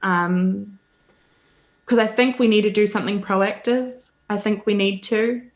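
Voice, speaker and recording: A woman's voice: mid-range voice, steady tone, measured pace. A woman from New Zealand with a Kiwi accent, chatting as a guest on a video call, hesitant, with many ums and frequent laughs. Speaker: guest, podcaster. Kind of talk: casual interview chat.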